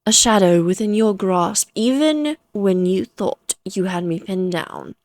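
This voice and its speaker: A woman's voice: medium pitch, sing-song, measured pace, a little mellow, dry recording. A woman voicing an ancient, amused and self-assured female vampire who taunts the listener in formal, theatrical phrases, in a Victorian fantasy audio roleplay. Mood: taunting, conspiratorial.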